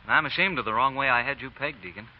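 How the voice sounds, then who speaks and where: A man's voice: mid-range voice, fast, natural voice. A man playing an earnest, humbled young man, sincere and straightforward, in old radio drama style. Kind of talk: 1940s radio acting. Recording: dull, noisy old radio recording.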